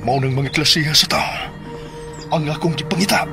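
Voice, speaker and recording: Higher-voiced, bold young man. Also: normal speed, gravelly. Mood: intrigued. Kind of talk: radio drama acting. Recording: loud music behind the voice.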